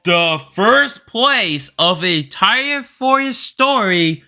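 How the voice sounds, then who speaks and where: A man giving informal, enthusiastic opinion commentary as a video creator, expressive and stretching words for emphasis. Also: high voice, takes it slowly. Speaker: video creator ranking game stories. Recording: voice over background music.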